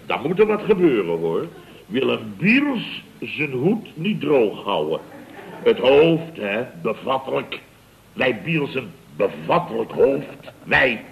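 An actor playing a blustering, self-important building contractor boss in a vintage radio comedy, with a pompous, rambling way of telling things. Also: male voice, mid-range voice, creaky, exaggerated voice. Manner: pompous, rambling, chuckling raconteur with exclamations. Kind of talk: 1960s-70s Dutch radio comedy acting. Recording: dull vintage radio recording.

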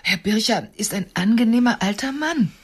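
An actress playing a composed, frank woman who mediates in a radio play, measured and polite. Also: fairly high pitch, animated, slightly rough. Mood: calm, reproving.

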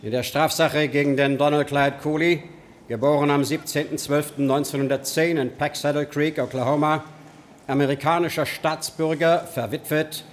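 A man plays a stern radio drama judge with a fairly high voice. He speaks in solemn, official phrases over faint noise and ambience. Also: fairly even intonation, moderate pace, close to an everyday voice. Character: formal, stern presiding judge in court.

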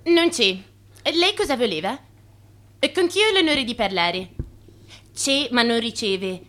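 A secretary in a radio drama. Her voice is fairly high, and she comes across as cool and dryly knowing, every bit the gatekeeper. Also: lively intonation, a little slow, a bit bright. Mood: guarded, brisk.

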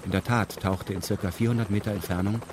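Low-voiced, detached male narrator. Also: steady tone, fast, background noise. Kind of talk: scripted radio drama narration in first person.

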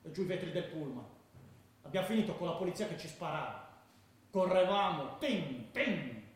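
Hardened ex-militant football ultra, radio play, high male voice, emphatic, heavy echo. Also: sing-song, normal speed. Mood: excited, recounting. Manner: emphatic, reasoning in short declarations. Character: hardened male ultra, former political militant, proud of violence. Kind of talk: theatrical radio drama monologue. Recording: very echoey room.

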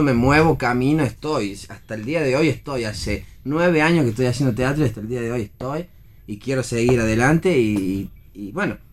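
A man with an Argentine accent, a stage actor speaking earnestly and haltingly, repeating words, in a casual radio conversation. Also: mid-range voice.

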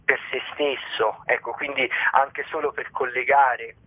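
Measured man on a phone-like line. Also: mid-range voice, fairly even intonation. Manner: measured, formal, long careful sentences. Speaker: guest theatre director. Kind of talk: radio interview, explanatory. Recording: band-limited phone-like line.

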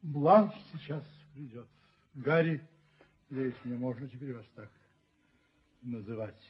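An actor playing a suave, dignified landlord in a radio play, formal and courteous yet commanding, with a slightly husky voice. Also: male voice, mid-range voice, a little slow, slight background noise, lightly acted. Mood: smooth, genial. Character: suave, authoritative, dignified gentleman landlord and father. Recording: muffled 1970s radio play recording.

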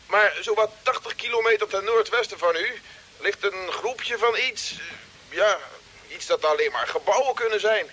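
High, bright-voiced space radio operator. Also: male voice, normal speed, breathy edge, heavily acted. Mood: reporting, puzzled. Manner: formal, precise reporting. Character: dutiful male radio operator reporting to his captain. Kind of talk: 1950s-style radio drama acting. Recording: hissy old radio play recording.

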